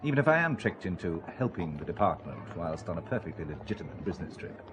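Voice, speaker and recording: A man's voice: low-pitched, very animated, fast, noisy background. A man playing a sardonic, reluctant amateur spy, a married textile merchant, in an audio drama with dry wit. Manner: dry, sarcastic.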